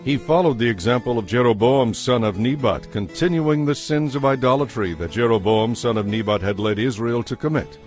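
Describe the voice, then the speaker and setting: A man giving a measured, formal scripted narration for a dramatized audio Bible, as narrator. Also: mid-range voice, faint music behind.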